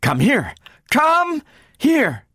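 A man's voice: mid-range voice, sing-song, moderate pace, no echo, clean studio sound, lightly acted. A man voicing a commanding, exacting woman scientist in an audiobook, with clipped, precise delivery. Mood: urgent, shouting. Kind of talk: dramatic audiobook character reading.

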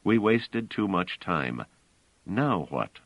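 A man's voice: low voice, sing-song, slow, a bit bright, no room sound, highs cut off, heavily acted. A man voicing a weary, commanding male space traveler in a storybook reading, speaking firmly.

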